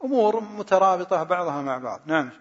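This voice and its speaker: A religious teacher taking questions after a class in a big echoing hall, a husky man repeating himself haltingly, caught on a muffled recording. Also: high-pitched, lively intonation. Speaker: lecturer answering questions on Quran study.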